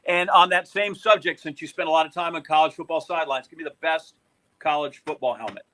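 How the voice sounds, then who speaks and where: A man's voice: on the high side, fast talker, pushed and bright. An American man hosting a casual sports podcast in a joking, teasing manner. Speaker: podcast host.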